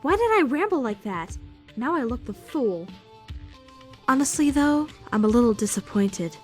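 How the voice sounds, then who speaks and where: A woman's voice: fairly high pitch, sing-song, moderate pace. A woman playing a prim, bookish young student who is easily indignant, in a fan-dubbed visual novel with formal, theatrical delivery. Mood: embarrassed. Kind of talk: first-person visual novel fan dub narration and dialogue. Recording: music behind the voice.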